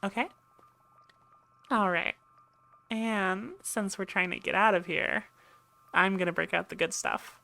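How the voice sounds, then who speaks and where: A woman's voice: medium pitch, steady tone, a little slow, creaky, pauses often, bright, forceful tone. A woman playing a brusque, sarcastic ship medic in an ASMR roleplay, dry and impatient, talking straight to her patient. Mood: businesslike. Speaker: voice actor in audio roleplay. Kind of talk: ASMR roleplay acting, speaking to listener.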